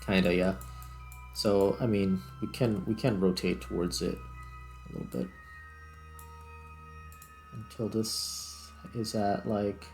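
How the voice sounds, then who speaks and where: A man's voice: on the low side, fairly even intonation, slow pace, pauses often, a little mellow. A man hosting a gaming video, talking casually and thinking aloud step by step as he plays. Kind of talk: casual let's play commentary. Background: background music.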